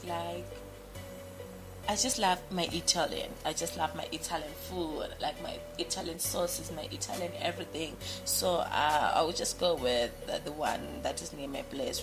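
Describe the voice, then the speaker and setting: A woman's voice: lowish voice, steady tone, normal speed, poor audio. A young woman from Zimbabwe, raised in South Africa, a radio personality answering casual interview questions in a bubbly, rambling way with many fillers and restarts. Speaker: radio personality, podcast guest. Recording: music playing behind.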